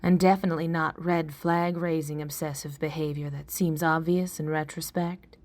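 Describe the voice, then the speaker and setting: Woman acting a low-voiced, seductive femme fatale. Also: level tone, moderate pace, a little mellow, natural voice. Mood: coy, needy. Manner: coy, seductive. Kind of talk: parody of 1940s radio acting.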